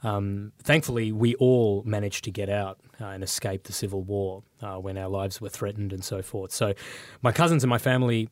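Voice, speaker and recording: Thoughtful low-voiced man. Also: steady tone, moderate pace, darkish tone, no echo, clean studio sound. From Sri Lanka, raised in Australia. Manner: thoughtful, with frequent um and uh. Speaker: interview guest. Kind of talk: reflective conversational interview.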